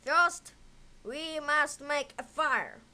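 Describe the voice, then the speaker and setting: A woman voicing a persuasive storybook uncle, a male villain, in measured, emphatic phrases. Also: high-pitched, very noisy. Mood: coaxing. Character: smooth-talking, coaxing adult uncle, male storybook villain.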